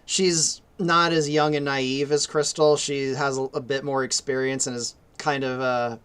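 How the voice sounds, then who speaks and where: A man's voice: medium pitch, little pitch movement, a little slow, a bit bright, clean tone. A man hosting a casual chat about his characters, laughing a lot. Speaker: creator and host.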